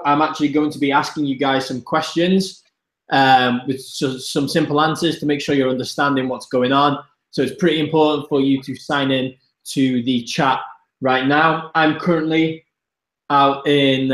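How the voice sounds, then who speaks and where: A man hosts a live web presentation in a relaxed, chatty way, speaking directly to his audience. His voice sits at an ordinary middle pitch. The room around him is audibly echoey. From Wales. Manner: chatty, addresses viewers directly. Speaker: host of online masterclass. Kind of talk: casual live-stream presentation. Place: room, Budapest. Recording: roomy echo.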